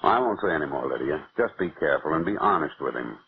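Casting: grave gentleman, 1940s radio melodrama, bass register, noisy old recording. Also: animated, fast, phone-quality sound. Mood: grave, urging. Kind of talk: 1940s radio drama acting.

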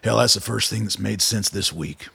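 Gravel-voiced, gruff older colonel. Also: male voice, fairly low pitch, normal speed, sharp tone, no room sound, exaggerated voice. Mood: skeptical. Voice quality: gravelly, husky. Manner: blunt, skeptical, swearing. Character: gruff, wrinkled older colonel, blunt and suspicious. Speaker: actor in a radio play. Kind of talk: naturalistic radio drama acting.

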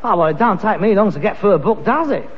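An actor playing an exasperated, self-important working-class London son, nagging pompously in a Cockney accent in a vintage British sitcom. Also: male voice, sits high, expressive, fast, slightly rough. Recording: dull, noisy, reverberant archive sitcom recording.

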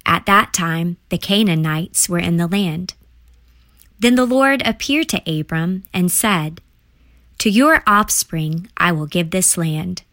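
A woman reading scripture aloud in a measured, reverent manner. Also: lowish voice, fairly bright tone, no echo. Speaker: scripture reader.

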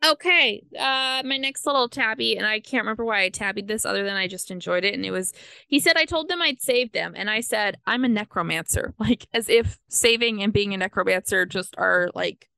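Woman co-host, earnest and chatty, crisp clear tone, dry close sound. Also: medium pitch, pushed and bright, dry recording, poor audio. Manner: earnest, quick asides with laughs. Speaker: podcast co-host. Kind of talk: casual book discussion.

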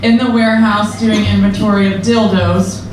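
A young woman performing a crude, self-deprecating stand-up set in a deadpan manner. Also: mid-range voice, moderate pace. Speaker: stand-up comedian. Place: comedy club or bar stage. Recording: echoey room, distant, noisy.

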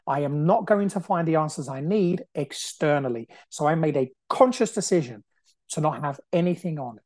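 A man's voice: a little high, a little mellow, no room sound. A man in his forties from Brazil, whose first language is Portuguese, hosting a podcast in an earnest, casual monologue full of "you know" and repeated phrases. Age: 40s. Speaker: podcast host. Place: at home on the sofa.

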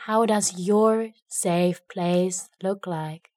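A woman narrating a guided meditation in a gentle, unhurried way, leaving long pauses between phrases. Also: fairly low pitch, steady tone, no echo.

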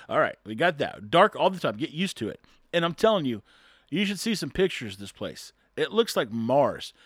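A man's voice: on the high side, dry recording, studio quality. A man talking casually on his own and reading an article aloud, chuckling often, rambling and tripping over words.

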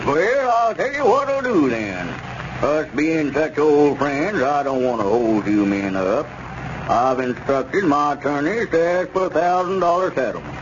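An actor playing a scheming, self-important old squire who drawls in a 1930s radio comedy. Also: male voice, fairly high pitch, expressive, measured pace. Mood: wheedling, aggrieved. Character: aggrieved, scheming old squire, pressing two friends for money. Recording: noisy, muffled 1930s radio recording.

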